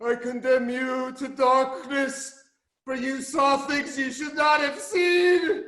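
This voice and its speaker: A man voicing the blinded King Oedipus, shouting in anguish and close to crying, in a reading held over a video call. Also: very high-pitched, level tone, slow pace, roomy sound, pitched above the performer's own voice, full character voice.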